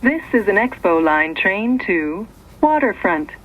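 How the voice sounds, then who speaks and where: A woman reading scripted transit announcements in a measured, clearly segmented way, as a train announcer. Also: medium pitch, sing-song, soft background music. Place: played over speakers inside a train. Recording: tinny band-limited speaker, noisy train interior. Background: train and vehicle noise.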